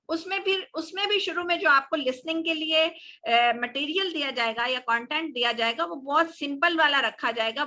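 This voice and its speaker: High, bright-voiced Pakistani woman teaching. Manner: explanatory, code-switching between Urdu and English. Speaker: English teacher. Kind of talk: live online class question-and-answer.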